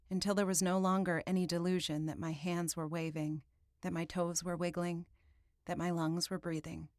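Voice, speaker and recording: Female audiobook narrator, lower register, dramatic and deliberate literary read, close dry sound. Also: no echo. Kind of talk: scripted first-person fiction narration.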